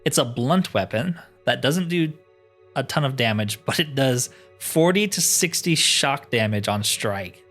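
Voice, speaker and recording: A man's voice: mid-range voice, expressive, unhurried, a bit bright, faint music behind. A man giving casual, slangy, informal commentary while playing through a roleplaying game. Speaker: game streamer doing roleplay playthrough.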